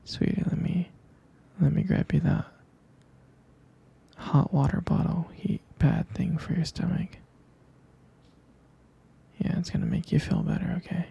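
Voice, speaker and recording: A man playing a devoted, soothing expectant father in an intimate ASMR roleplay, murmuring affectionately in a soft, breathy, husky whisper. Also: fairly high pitch, fairly even intonation, full character voice. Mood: caring, soothing. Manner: slow, murmuring, reassuring, affectionate.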